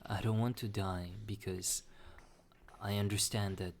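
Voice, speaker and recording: A young man talking slowly and hesitantly in a personal confessional monologue, with many restarts. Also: on the low side, fairly even intonation, slight background noise. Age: young adult. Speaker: vlogger.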